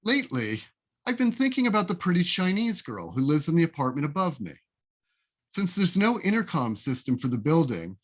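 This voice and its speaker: A man reading his own essay aloud as a literary reading, with a deliberate, wry, expressive storytelling delivery, recorded over a video call. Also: a little high. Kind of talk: literary reading, scripted first-person narration.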